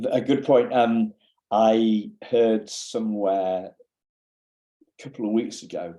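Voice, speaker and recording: A man's voice: on the low side, warm tone, poor audio. A male podcast interviewer who halts and repeats words as he forms his questions. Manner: halting, repeats words while forming questions. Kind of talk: casual interview conversation.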